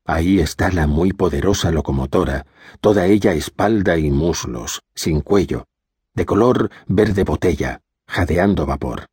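A man reading a literary audiobook in a measured, steady way. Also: sits low, slightly rough, no echo, clean studio sound. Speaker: audiobook reader.

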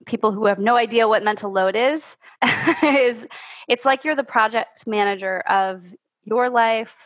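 A woman's voice: a little high, measured pace, fairly bright tone. A woman from Canada hosting a podcast as a life coach, talking enthusiastically and laughing often. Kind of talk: podcast interview.

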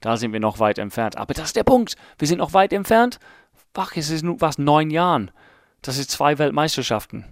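A man's voice: on the high side, expressive, brisk, no echo, studio quality. An Englishman in his late thirties, whose first language is English, hosting a casual solo podcast in German with an English accent, rambling and mocking himself as he thinks aloud. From England. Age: late 30s. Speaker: podcast host.

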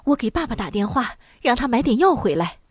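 A woman's voice: on the high side, very animated, fairly quick, breathy edge, dry recording, studio quality. A woman voicing a worried, soothing mother in a storybook. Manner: anxious, soothing. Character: fussing, caring mother.

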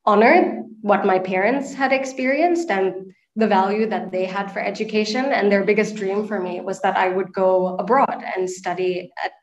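A woman's voice: medium pitch, normal speed. A woman in her late twenties from Sri Lanka, giving conversational answers as a podcast guest. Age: late 20s. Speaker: podcast guest, nonprofit and social enterprise manager. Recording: echoey room, dull lo-fi call audio.

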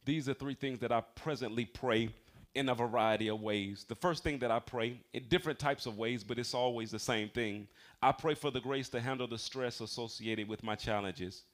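A man's voice: mid-range voice, fairly bright tone. American man preaching, emphatic and conversational. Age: 40s. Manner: emphatic, conversational, calls for amens. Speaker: pastor. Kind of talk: sermon, personal testimony. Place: speaking to a congregation in a church building.

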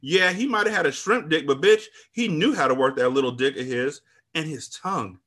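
A man voicing a furious, foul-mouthed woman in an audiobook drama, loud, sassy and confrontational, bent on revenge. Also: on the high side, animated, fairly quick, pitched above the performer's own voice. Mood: vengeful, gloating. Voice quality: loud, raised voice. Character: Alice, furious, foul-mouthed, vengeful woman betrayed by her friend.

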